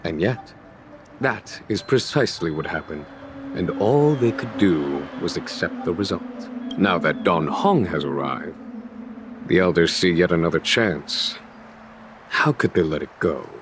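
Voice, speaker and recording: A man playing a wise, shrewd general in a game, speaking in a measured, formal and quietly amused manner. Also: sits low, expressive, background noise. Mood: measured, explanatory. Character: wise, calm, shrewd male general, recuperating. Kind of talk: English game voice acting, measured dialogue.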